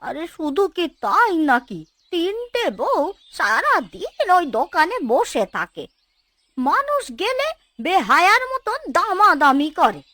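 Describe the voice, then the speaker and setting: A woman's voice: very high voice, very animated, slow, sharp tone, a little hiss or hum, exaggerated voice. A woman voicing an envious, scheming village gossip in a cartoon, tutting and indignant. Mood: scandalised. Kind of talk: Bengali cartoon dubbing.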